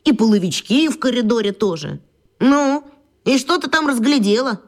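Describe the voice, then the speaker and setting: A woman's voice: fairly high pitch, normal speed, lightly acted. A woman playing a skeptical schoolboy. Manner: skeptical, teasing, lively intonation. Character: skeptical young schoolboy, wry. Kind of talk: children's radio play acting.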